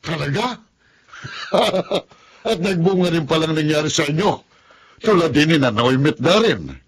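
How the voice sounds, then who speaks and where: A man's voice: a little high, expressive, a little slow, husky. A Filipino actor playing an authoritative middle-aged father questioning his son in a radio drama, probing and emphatic. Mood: pleased, eager. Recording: faint noise and ambience.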